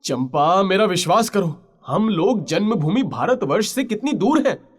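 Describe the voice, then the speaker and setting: Man playing a high-voiced lovelorn mariner chief. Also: sing-song, breathy edge, full character voice. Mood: impassioned pleading. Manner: impassioned, pleading, halting pauses. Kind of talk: Hindi literary audio story drama.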